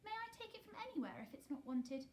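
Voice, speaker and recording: A woman's voice: very high voice, very animated, quick pace, a little mellow, pitched above the performer's own voice. A woman voicing a timid, eager little girl in a storybook reading, with a tremulous, earnest way of talking. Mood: timid, pleading. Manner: breathy gasps and tremulous, quavering pleading.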